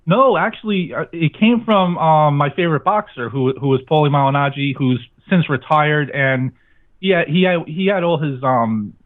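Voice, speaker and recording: A man's voice: a little high, moderate pace. A man chatting casually as an interview guest, giving blunt, opinionated answers. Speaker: guest, sports radio show host. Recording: thin phone-like line.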